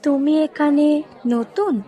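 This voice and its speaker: Soft, high-voiced, slow-talking gentle teenage girl played by a woman. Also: some chatter behind. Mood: welcoming. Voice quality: soft, delicate, melodious. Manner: tender, reassuring. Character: gentle, friendly blind teenage schoolgirl. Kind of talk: audio drama dialogue.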